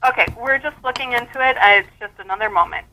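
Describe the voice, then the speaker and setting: Bright-voiced woman clerk on phone. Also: fairly high pitch, quick pace, poor audio. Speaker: city office clerk. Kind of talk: customer service phone call.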